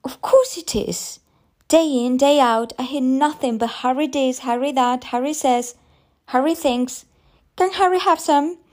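A woman's voice: sits high, sing-song, unhurried, a little mellow, voice pushed up, exaggerated voice. A woman narrator voicing a fretful young mother in a story, tense and emotional. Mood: distraught. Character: anxious, fretful young mother, nerves fraying.

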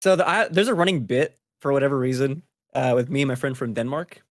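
A man's voice: mid-range voice, quick pace, no echo, clean studio sound. A man hosting a gaming news podcast, chatting through stories in an informal, slangy, conversational way. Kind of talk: casual news chat among co-hosts.

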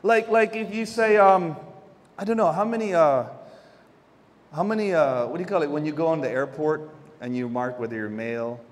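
A man's voice: fairly high pitch, moderate pace, in a large hall. A man from the Philippines, preaching a sermon in a chatty way with homely stories and frequent restarts. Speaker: pastor.

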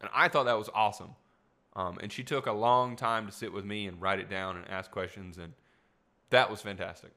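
A man's voice: on the low side, steady tone, fairly quick, pushed and bright. A young man hosting a solo podcast, rambling with restarts and asides, his voice getting tired. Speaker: podcast host; music teacher. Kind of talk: casual solo podcast talk.

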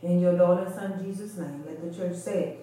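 A woman's voice: on the low side, steady tone, moderate pace, dark tone. A woman leading a fervent extemporaneous church prayer in short, repeated invocations. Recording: very echoey reverberant room.

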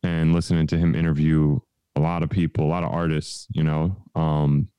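A man's voice: rumbling low, flat delivery, normal speed, mellow, smooth, dry recording. A man co-hosting a podcast, chatty and self-mocking. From New York. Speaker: podcast co-host. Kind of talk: casual interview chat.

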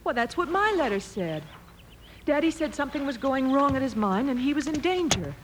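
A woman's voice: sits high, animated, brisk. A woman playing an anxious young lady traveller in a radio western, with an American accent and an earnest, proper way of speaking. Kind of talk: old-time radio western acting. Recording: noisy vintage radio recording.

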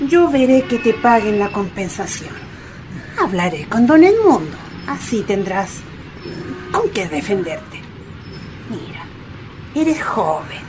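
Woman playing a slow, patronizing older woman. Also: on the high side, background noise. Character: older woman coworker, falsely soothing, guilty, easily indignant. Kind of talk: radio drama acting.

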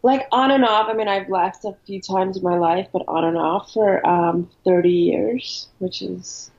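A woman in her thirties, a podcast guest who grew up in New York, talking in a relaxed way with frequent ums. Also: medium pitch, a little slow. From born in Ukraine, raised in New York. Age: 30s. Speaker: interview guest. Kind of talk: casual interview chat. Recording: dull lo-fi audio.

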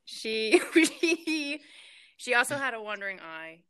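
A woman guest tells a story in a casual chat, her voice bright and forward. She talks in an animated way and laughs often. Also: a little high, slow pace, clean tone.

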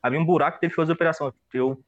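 Young Paulistano co-host, quick slangy rambling, slightly higher voice. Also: male voice, steady tone, highs cut off. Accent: Paulistano Brazilian Portuguese. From São Paulo, Brazil. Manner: rambling, slangy, joking, lots of fillers. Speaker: podcast co-host. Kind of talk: casual chat on a livestream podcast.

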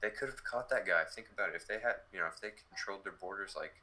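A man's voice: sits low, fast talker, pushed and bright. A man in casual political chat, speaking plainly and matter-of-factly.

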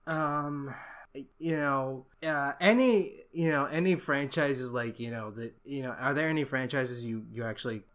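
A man's voice: medium pitch, a little slow, fairly bright tone. A man hosting a podcast interview, rambling through his questions with many restarts and frequent laughs. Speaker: podcast host. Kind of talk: casual interview chat.

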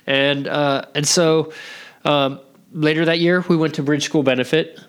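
A man's voice: a little high, fairly even intonation, unhurried, clean tone. A man hosting a podcast in casual chat, easygoing and steering the conversation with many fillers. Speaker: podcast host. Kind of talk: casual chat among friends.

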